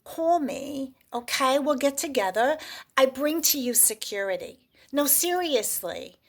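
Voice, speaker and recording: Woman, brisk comic dating pitch, mob-wife swagger, lightly raised voice. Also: animated. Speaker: dating contestant.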